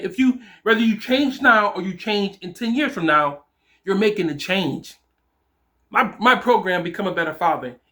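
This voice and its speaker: A man's voice: on the high side, pushed and bright. A man in his forties giving an informal, encouraging motivational talk to fathers, speaking emphatically as a coach. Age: 40s.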